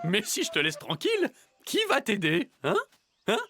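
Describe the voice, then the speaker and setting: A man's voice: high voice, wildly swooping pitch, moderate pace, pushed and bright, exaggerated voice. A man voicing a jolly, playful male cassowary in a cartoon, exuberant and teasing, often breaking into giggles. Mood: cheerful, teasing. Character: jolly, playful male cassowary who loves singing and dancing. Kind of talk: cartoon dub acting. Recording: music behind the voice.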